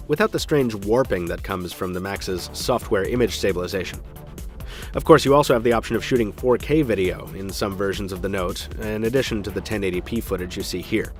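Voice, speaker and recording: A man hosting a tech show, narrating a scripted product review in an emphatic style with dry, wry asides. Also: lowish voice.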